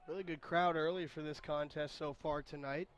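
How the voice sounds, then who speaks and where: A hoarse man calls a soccer match on his own, his voice raspy and pitched a bit high. He chats between plays and corrects himself with easy self-deprecation. Faint outdoor noise sits behind him. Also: fairly even intonation, normal speed, muffled recording. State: hoarse voice recovering. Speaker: play-by-play commentator. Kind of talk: live soccer commentary. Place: outdoor stadium.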